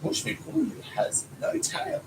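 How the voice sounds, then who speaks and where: A man's voice: very high-pitched, huge pitch swings, unhurried. A man reading a children's story aloud as a father does for his child. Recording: noisy home recording, clear background noise.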